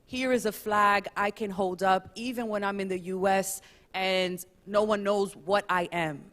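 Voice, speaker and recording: Lively woman telling family stories. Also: medium pitch, unhurried. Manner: lively, animated storytelling. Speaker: panelist. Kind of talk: panel storytelling.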